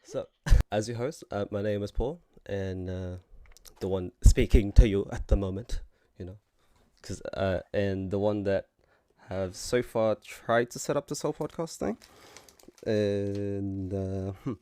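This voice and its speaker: A man in his twenties hosts a casual podcast. His voice is deep and relaxed, and he comes from the Philippines. The recording is close and dry. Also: unhurried, smooth, dry recording. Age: 20s. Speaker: podcast host.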